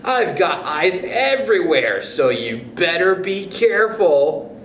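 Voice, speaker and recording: A man's voice: sits high, sing-song, slow pace, fairly bright tone, echoey room, a little hiss or hum, voice pushed up, heavily acted, rough recording. A man voicing a spiteful old hag of a wife from a children's storybook, in a dramatic way. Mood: gloating. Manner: shrieking, dramatic.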